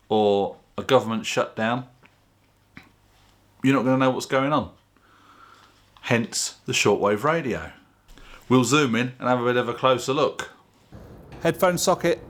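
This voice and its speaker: A man presenting his own video in a chatty, informal, plain-spoken way, explaining things casually to camera. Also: medium pitch. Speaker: video channel host.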